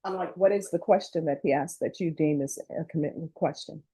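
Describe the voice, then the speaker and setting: A woman judge speaking briskly and directly from the bench. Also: deep voice, dark tone, breathy edge. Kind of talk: courtroom rulings.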